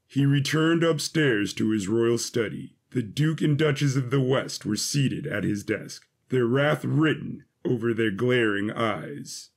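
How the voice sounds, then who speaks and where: A man's voice: medium pitch, expressive, measured pace, vocal fry, few pauses. A man reading scripted fiction as the narrator of a story.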